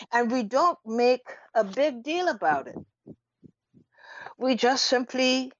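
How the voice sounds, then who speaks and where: A woman hosts a radio talk show in dull, lo-fi sound. She speaks slowly and emphatically, posing rhetorical questions and leaving deliberate pauses. The recording is dry, with very little room around her voice. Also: on the high side, no room sound. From Trinidad. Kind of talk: unscripted radio commentary.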